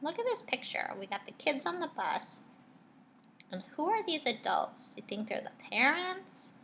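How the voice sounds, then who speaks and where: A woman teacher reading a picture book aloud to children, expressively, pausing to ask her young listeners guiding questions. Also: sits high, big pitch swings, fairly bright tone, slight background noise.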